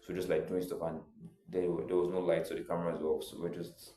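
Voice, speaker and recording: A young man tells his own story in a loose, rambling way, leaning on filler words as he goes. His voice sits very low and sounds mellow and soft-edged. The room around him adds a clear echo. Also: flat delivery, fast talker. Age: young adult. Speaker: vlogger. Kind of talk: casual personal storytelling.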